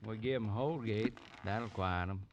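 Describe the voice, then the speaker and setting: An actor playing a wary, folksy small-town sheriff in an old radio western, a little hesitant and reluctant to take risks. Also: male voice, fairly low pitch, measured pace, muffled recording. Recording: noisy vintage radio recording, clicks.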